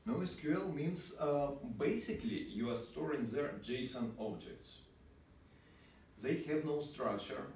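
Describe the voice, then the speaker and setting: A man with a Russian accent leads an informal programming class and keeps asking his students questions. His medium-pitched voice comes from a distance, with lots of room echo and steady background noise. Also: measured pace. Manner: relaxed, asks the class questions. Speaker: programming teacher. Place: small meeting room with a whiteboard. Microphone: far camera. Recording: roomy, distant, noisy room sound.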